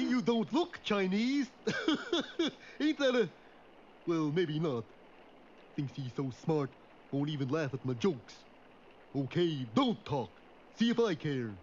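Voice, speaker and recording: A man's voice: very high voice, darkish tone. A man voicing a big, good-natured, dim-witted moose in a classic cartoon, with a goofy, folksy drawl. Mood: jokey, then huffy. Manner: slow-witted, folksy, naive. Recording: dull old cartoon soundtrack with hiss.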